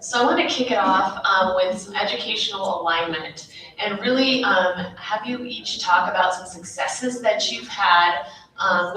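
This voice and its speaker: A woman moderating a panel, pausing often with "um" as she sets up questions. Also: mid-range voice, bright, forceful tone. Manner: hesitant, many "um"s. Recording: echoey hall, mic at a distance.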